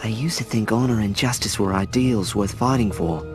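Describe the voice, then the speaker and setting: A man's voice: on the low side, normal speed, close to an everyday voice. An actor playing an earnest young male owl warrior in a video game, speaking with intensity. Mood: reflective. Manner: intense, emotional. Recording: game audio with music behind.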